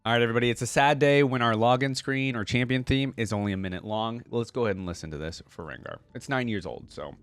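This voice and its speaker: A man's voice: medium pitch, quick pace, few pauses, soft background music. A man hosting a reaction video, giving casual, informal commentary and thinking aloud as he goes. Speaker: video host reacting to game content.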